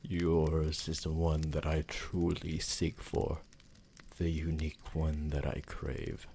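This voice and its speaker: Casting: man to play romantic, devoted vampire for ASMR roleplay. Rumbling creaky bass, slow formal delivery, possessive tenderness, slight noise. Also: completely flat delivery, mellow, exaggerated voice. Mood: possessive, tender.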